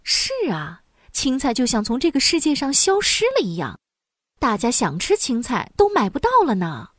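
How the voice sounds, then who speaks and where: A woman's voice: a little high, huge pitch swings, moderate pace, fairly bright tone, no room sound, clean studio sound, exaggerated voice. A woman voicing a worried, soothing mother in a storybook. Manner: anxious, soothing. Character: fussing, caring mother.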